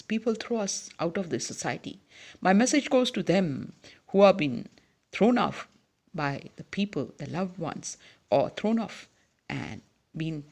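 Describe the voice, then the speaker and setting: A preacher speaking delivers an emphatic devotional sermon. The voice is gravelly and husky, and a steady "yes" marks the breaks between phrases. Quiet asides alternate with sudden loud emphasis. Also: mid-range voice.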